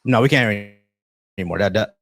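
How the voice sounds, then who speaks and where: A man's voice: on the low side, fast talker, a bit bright, dry recording, studio quality. A man hosting a call-in debate show, pressing his callers and often cutting in with "hold on, bro.". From Haitian family background. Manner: interrupting, repeats words, says "hold on, bro".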